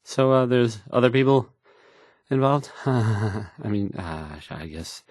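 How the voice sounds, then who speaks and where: A bumbling young radio DJ for a video game, swinging up and down in pitch as he stammers. He sounds flustered and unsure of himself, often trailing off, in a dry, close studio sound. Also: animated, slow, a little mellow, dry recording. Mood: hesitant, surprised. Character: nervous, awkward, bumbling young male radio DJ. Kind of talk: scripted game voice acting, halting radio patter.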